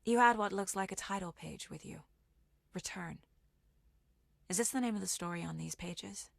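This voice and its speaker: A very dry, close recording of a sharp federal investigator in a video game. She is measured and thoughtful, working through things in short, clipped phrases with steady determination. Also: medium pitch, vocal fry, no room sound. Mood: gently probing. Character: determined female FBI agent and mother, sharp, inquisitive investigator.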